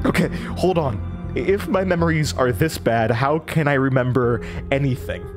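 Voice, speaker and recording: An actor playing a confused, distraught male suspect with no memory, halting and stammering, in an audio drama. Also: mid-range voice, music in the background, natural voice. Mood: confused, alarmed.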